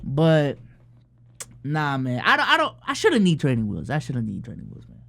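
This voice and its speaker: A man's voice: a little high, wildly swooping pitch, normal speed, gravelly, fairly bright tone, no echo. A man in his early twenties from the Philippines, chatting casually on a livestream and answering viewer questions in a loose, profane way full of fillers. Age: early 20s. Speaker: streamer.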